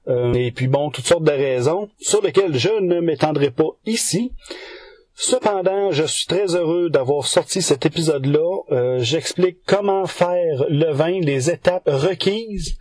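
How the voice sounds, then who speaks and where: A man's voice: on the high side, measured pace, darkish tone, breathy edge, no echo. A man with a Québécois French accent presenting a podcast news bulletin from partly scripted notes. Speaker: podcast segment host.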